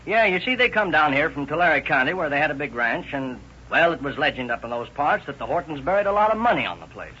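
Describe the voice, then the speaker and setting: An actor playing a gossipy country neighbor, hesitant and folksy, in 1930s radio drama style. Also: male voice, a little high, big pitch swings, quick pace, few pauses, pushed and bright, husky, exaggerated voice. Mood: gossipy, helpful. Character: rambling, gossipy male country neighbor witness. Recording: muffled vintage radio recording.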